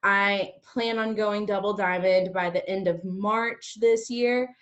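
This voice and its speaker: A woman's voice: medium pitch, steady tone, slow pace, fairly bright tone, dull audio. Woman, early 30s, mid-range voice. Team leader giving a casual, chatty motivational talk to an online group. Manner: chatty, peppered with "like". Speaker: direct-sales team leader co-hosting a training.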